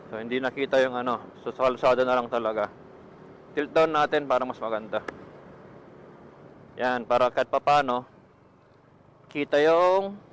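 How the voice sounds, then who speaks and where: A Filipino man giving casual vlog commentary as he rides a motorcycle, speaking into a helmet intercom microphone. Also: medium pitch. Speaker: motovlogger testing gear. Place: outdoors on a motorcycle on the road. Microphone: Bluetooth helmet intercom microphone. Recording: helmet intercom mic with wind, engine noise. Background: road and vehicle noise.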